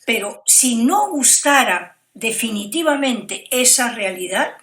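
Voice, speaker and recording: A woman's voice: fairly high pitch, a bit bright. A woman with a Peruvian Spanish accent giving opinions as an academic interview guest, reflective and often checking for agreement. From Peru. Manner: reflective, often seeks agreement with tag questions.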